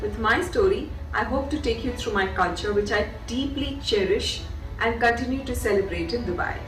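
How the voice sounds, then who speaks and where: Indian woman, higher voice, distant roomy sound, loud music. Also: normal speed. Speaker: author.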